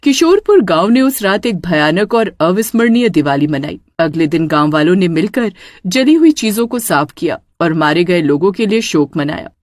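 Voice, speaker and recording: Woman, storyteller, scripted dramatic narration, animated intonation, close dry recording. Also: lowish voice, moderate pace, dry recording.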